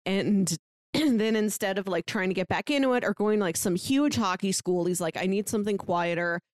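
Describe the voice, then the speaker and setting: A woman's voice: mid-range voice, animated, normal speed, no echo, clean studio sound. A woman telling a story informally in a casual podcast chat, often dropping in "like". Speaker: podcast speaker discussing books.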